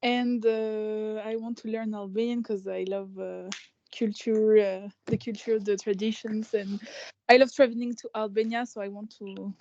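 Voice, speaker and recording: A woman's voice: mid-range voice, little pitch movement, moderate pace, a little mellow. A woman from France, a student on a video call, answering hesitantly and searching for words. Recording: dull lo-fi call audio.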